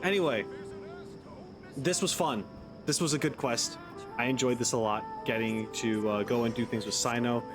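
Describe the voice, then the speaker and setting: A man's voice: medium pitch, fairly even intonation, moderate pace, over music. A man doing casual, jokey let's play commentary as a gaming streamer, with a laid-back manner.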